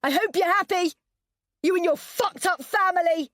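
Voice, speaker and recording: A woman voicing a frantic young mother who shouts in panic and fury. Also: medium pitch, big pitch swings, a little fast, breathy edge, no room sound, clean studio sound, voice pushed up.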